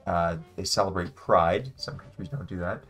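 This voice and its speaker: A man's voice: low voice, moderate pace, a bit bright, faint music behind. A man in his twenties playing a game and thinking aloud in a hesitant, musing, casual way. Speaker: guest player, beginner. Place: small home room indoors.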